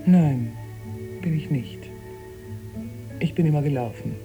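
An actress playing a sober, resentful wife with a dry, reproachful manner in a German radio play. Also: female voice, deep voice, slow pace, dull audio, music in the background. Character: sober, cutting wife, resigned yet resentful.

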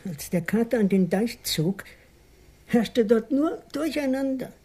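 An actor voicing a small, evasive police informant in a radio crime drama, hesitant and defensive, repeating words, with a husky voice. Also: medium pitch, animated, unhurried, mellow. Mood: confiding, admiring. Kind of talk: 1980s German radio play acting.

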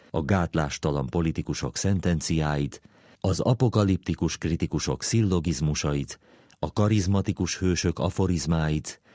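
A man reads an essay aloud with measured care. His voice is very deep and dark, recorded dry and close, suited to wry philosophical prose. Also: no room sound. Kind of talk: dramatic reading of a humorous philosophical essay.

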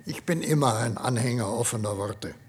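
An actor playing an older, formal and skeptical professor in a science fiction radio play. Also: male voice, medium pitch, moderate pace, slight background noise, lightly acted. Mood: guarded, formal.

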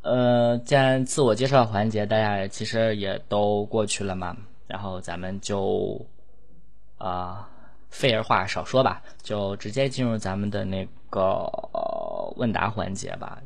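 A man's voice: lowish voice, lively intonation, unhurried, creaky. A man casually hosting a live question-and-answer session in a playful, rambling way. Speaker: host and lead voice actor of an audio drama.

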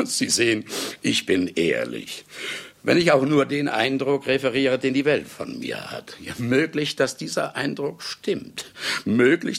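An actor playing a brash, hard-drinking famous male novelist, addressing the audience in a radio play with sly, ceremonious irony and a gravelly, husky voice. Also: mid-range voice, fairly bright tone, heavily acted. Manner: sly, chuckling, ceremonious asides with drawn-out words. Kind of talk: theatrical radio play monologue.

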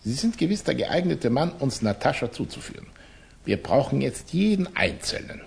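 An actor playing a paternal, self-important revolutionary leader in a 1960s German radio play, unctuous and commanding. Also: male voice, medium pitch, big pitch swings, moderate pace, vocal fry, slight background noise. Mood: insistent.

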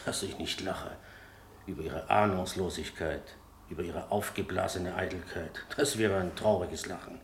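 Stern innkeeper in an echoey room. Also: male voice, low-pitched, steady tone, moderate pace, vocal fry. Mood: scornful. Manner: formal, curt, emphatic. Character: surly, stern café innkeeper, fussily polite to regulars. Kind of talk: German radio play acting. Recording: roomy echo, background noise.

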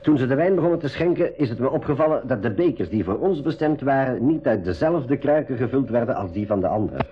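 Man acting a shrewd companion, very animated intonation. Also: mid-range voice, fast talker, few pauses, slight background noise, close to an everyday voice. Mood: earnest explaining. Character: Coralis, shrewd observant companion recounting his escape. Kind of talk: 1980s school radio drama dialogue. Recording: dull lo-fi radio recording.

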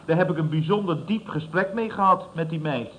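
Guy playing smug earnest architect in old radio comedy, kinda high voice, bit of noise. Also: brisk, a bit bright, lightly acted, poor audio. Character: self-satisfied, earnest young male architect employee.

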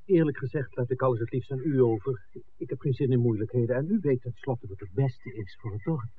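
An actor playing an impatient, pragmatic film maker in a 1960s radio drama, blunt and insistent. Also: male voice, mid-range voice, a little fast, natural voice. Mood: placating. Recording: tinny, noisy 1960s radio recording.

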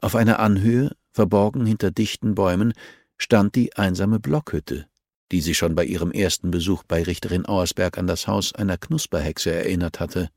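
A man narrates a crime novel in a fairly low voice. It is scripted audiobook reading, recorded very dry, with no room sound around the voice. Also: normal speed, darkish tone, no echo.

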